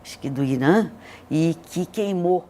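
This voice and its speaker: A woman's voice: lowish voice, measured pace, mellow. An older Brazilian woman telling personal stories as an interview guest, speaking in an earnest, emotive way. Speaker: interview guest, hospital leader. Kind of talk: reflective personal storytelling in an interview.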